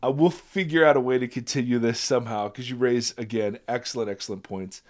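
A man hosting a podcast in casual chat, his voice raspy from a virus, rambling and self-deprecating, often laughing. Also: medium pitch, fairly quick, a little mellow. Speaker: podcast host.

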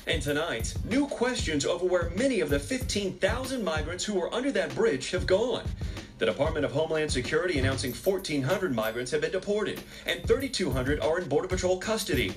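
A man's voice: fairly high pitch, lively intonation, fairly quick, music in the background. A man with an American accent delivering a scripted news report in emphatic, clipped headline phrases. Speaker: news correspondent.

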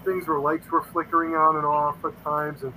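Man in his fifties, bright forceful tone, somewhat high voice, rambling and earnest, faint hum behind. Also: monotone, moderate pace, poor audio. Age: 50s. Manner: rambling, earnest, quotes himself often. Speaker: paranormal investigator guest. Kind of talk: casual interview storytelling.

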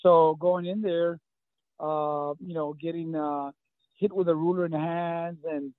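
A man's voice: a little high, steady tone, a little slow, no echo. A man in his fifties from South Texas, Spanish his first language, talking in a casual interview with many fillers and restarts. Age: 50s. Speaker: guest, running coach. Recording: tinny phone-like line.

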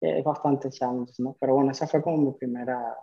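Even-toned, mellow man in his thirties. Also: medium pitch, brisk, gravelly. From Cuba. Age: 30s. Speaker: podcast guest, entrepreneur. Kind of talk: conversational personal storytelling. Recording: slightly dull, lo-fi audio.

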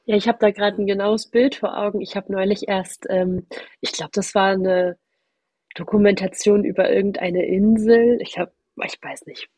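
A woman's voice: medium pitch, fairly even intonation, moderate pace, mellow, no room sound. A woman podcast co-host with a cold, sounding nasal, chatting casually and laughing often. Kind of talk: casual conversation.

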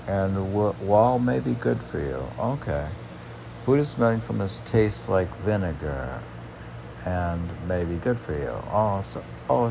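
Man, very gravelly low voice, halting poetry reading, musing asides, noisy recording. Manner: halting, musing, talks back to himself. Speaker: poet reading own work and commenting. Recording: noticeable background noise.

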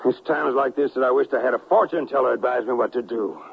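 An actor playing a gruff, stubborn western colonel in a radio drama, with husky, rough frontier speech that halts when he is troubled. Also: medium pitch, quick pace. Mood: stubborn, determined. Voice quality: gravelly, husky. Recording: dull lo-fi radio recording.

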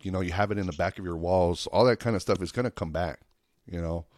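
A man with a low voice, recorded dry and without room sound. He talks like a comic on a podcast, casual and anecdotal. His delivery is earnest and rambling, full of slang and the odd swear word. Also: quick pace, darkish tone, dry recording. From United States, Lake Tahoe and Reno area of Nevada. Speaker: stand-up comedian.

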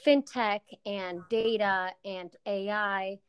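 A woman's voice: medium pitch, fairly even intonation, takes it slowly, clean tone. A woman in her thirties, from the Czech Republic and raised in New York, talking casually as a guest over a remote call, friendly and full of fillers and restarts. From Czech Republic, raised in New York City. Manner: friendly, lots of fillers and restarts, laughs easily. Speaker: guest, co-founder of a media consultancy. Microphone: remote call audio.

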